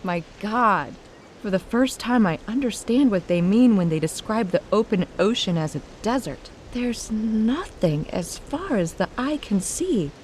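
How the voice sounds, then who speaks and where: A woman's voice: medium pitch, expressive, a little slow, darkish tone, a little hiss or hum, lightly acted. An actress playing a resourceful, optimistic woman adventurer with a bantering, wry manner in an audio drama. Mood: awed, lost.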